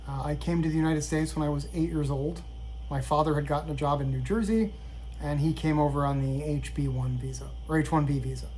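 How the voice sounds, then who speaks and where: A middle-aged man from Sweden telling a personal story in a measured, reflective way. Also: a little high, poor audio. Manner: reflective, measured, with occasional restarts. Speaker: vlogger.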